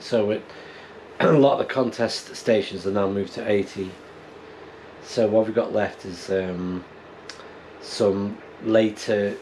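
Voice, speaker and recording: A man with a British accent chatting casually to his vlog in an unhurried, rambling, understated way. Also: fairly low pitch, low-quality recording. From United Kingdom. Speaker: amateur radio hobbyist and vlogger. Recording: clear steady background noise.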